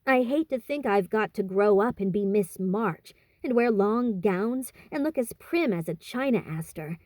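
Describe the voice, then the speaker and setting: A woman's voice: medium pitch, animated, unhurried, a little mellow, dry recording, close to an everyday voice. A woman voicing a blunt, boyish teenage tomboy in a storybook, brash and plain-spoken. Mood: defiant.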